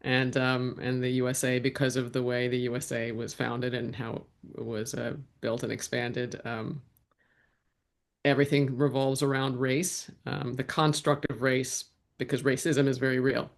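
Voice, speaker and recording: Reflective woman guest with a gravelly, fry-edged voice, talking it through unscripted. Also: a bass voice, level tone, normal speed. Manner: reflective, with frequent restarts. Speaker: guest, actor and writer. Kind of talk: conversational interview answers.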